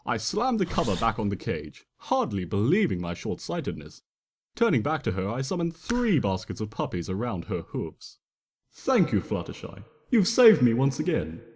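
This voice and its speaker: Theatrical man with wildly swooping pitch. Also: darkish tone. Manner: theatrical, playful. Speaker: fan fiction reader and narrator. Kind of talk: dramatic first-person storytelling in character as a playful trickster. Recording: reverberant room with long echo.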